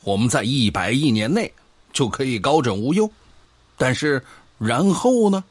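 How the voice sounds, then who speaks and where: Guy playing a pedantic scoffing friend in an audio drama, lively intonation, dry studio sound, always arguing the point. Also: medium pitch, moderate pace, husky, no room sound. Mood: scoffing, insistent. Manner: needling, lecturing, scoffing. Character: argumentative, pedantic man drinking with his old friend. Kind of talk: Chinese sci-fi audio drama dialogue.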